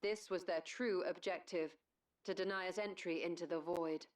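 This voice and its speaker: Woman acting serious game heroine, lowish voice, calm and formal, bit distant from the mic. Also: moderate pace, natural voice. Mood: grave. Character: grave, composed female ally reporting bad news. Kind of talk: video game dramatic acting.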